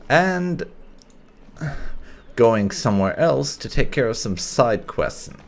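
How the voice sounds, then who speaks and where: A man hosting a casual video game playthrough, haltingly thinking aloud as he comments. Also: mid-range voice, very animated, gravelly. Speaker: gaming channel host. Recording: game ambience faintly behind commentary.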